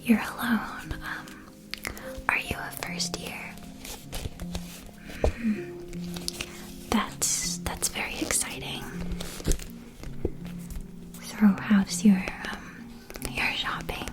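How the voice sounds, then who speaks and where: A woman playing a gentle, reassuring witch shopkeeper in an ASMR roleplay, whispering in a soft, soothing way. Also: medium pitch, steady tone, extremely slow, long pauses, over music. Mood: friendly, encouraging.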